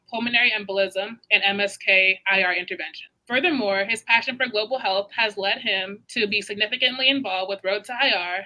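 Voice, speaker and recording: Woman reading out a speaker intro, super bright crisp voice, steady and careful. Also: mid-range voice, rough recording. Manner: steady, careful scripted delivery.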